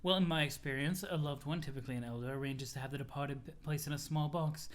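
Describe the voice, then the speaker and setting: A comic reader voicing a dry, snooty teenage girl who speaks in a formal, arch deadpan. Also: medium pitch, fast, voice pushed down, natural voice. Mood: deadpan, ironic.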